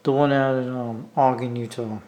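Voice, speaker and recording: A man with an American accent talking casually off the cuff as a hobbyist video maker, rambling and halting as he repeats himself. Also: medium pitch, fairly even intonation, a little mellow. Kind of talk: casual unscripted vlog talk. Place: indoor room, talking from behind the camera.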